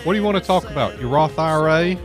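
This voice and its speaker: A man's voice: fairly high pitch, animated, quick pace, music in the background. A man, hosting a live financial talk radio show in a chatty way, often repeating words as he goes. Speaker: radio host. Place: radio studio. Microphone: studio microphone.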